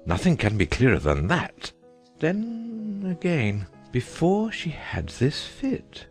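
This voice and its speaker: A man voicing a fussy, self-satisfied king in a storybook reading, speaking slowly with a gravelly voice. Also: on the high side, wildly swooping pitch, darkish tone, faint music behind, voice pushed up, full character voice. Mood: muttering.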